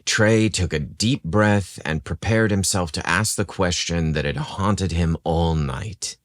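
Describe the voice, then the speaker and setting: Deep-voiced man narrating romance, unhurried. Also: no echo. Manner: dramatic, expressive storytelling. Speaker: storyteller, podcast narrator.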